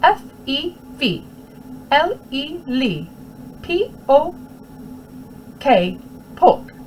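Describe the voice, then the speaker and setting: A woman voicing a small, eager peasant boy in a storybook reading, with a high, excited child voice. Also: very slow, soft background music, exaggerated voice, poor audio.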